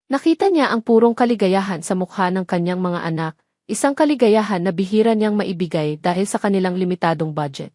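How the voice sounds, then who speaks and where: A woman's voice: mid-range voice, a little mellow, no room sound. Dry-sounding Filipino woman narrating drama. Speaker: audio story narrator. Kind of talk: dramatic scripted narration of a romance story.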